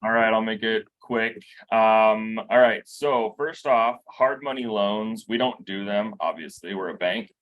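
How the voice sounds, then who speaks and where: Lower-voiced man giving a work briefing. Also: unhurried, hardly any pauses, highs cut off. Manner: conversational, explains with examples. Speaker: bank loan officer.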